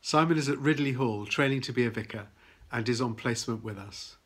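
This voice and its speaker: A man's voice: mid-range voice, fairly quick, fairly bright tone. A man leading a church service, plainly introducing the reader and the preacher.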